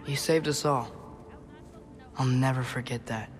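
An actor playing an earnest, gentle teenage boy warrior in a video game, sincere and soft-hearted. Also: medium pitch, fairly even intonation, a little slow, faint music behind, close to an everyday voice. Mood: solemn. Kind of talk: video game dialogue acting.

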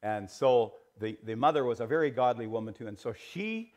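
Middle-aged man, Sunday school teacher, mid-pitched, animated, filler-heavy delivery in a small church room. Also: normal speed. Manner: animated, gesturing, many fillers and restarts, trails off quietly. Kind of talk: church history lesson. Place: small church sanctuary, indoors.

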